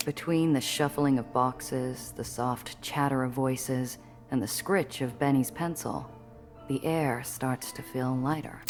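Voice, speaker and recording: An actress playing a tough, wry woman who narrates her own story in a game, with measured literary description and blunt asides. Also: very deep voice, darkish tone, faint music behind. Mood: measured, observant. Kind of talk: scripted first-person game narration.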